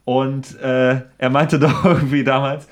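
A young man chatting casually as a podcast co-host, speaking in an informal, slangy and halting way. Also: mid-range voice, little pitch movement. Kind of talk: casual chat among friends.

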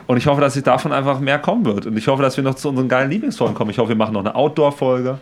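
A man's voice: medium pitch, rapid-fire, a little hiss or hum. A young man co-hosting a podcast, rambling in slangy, casual chat among friends. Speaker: podcast co-host.

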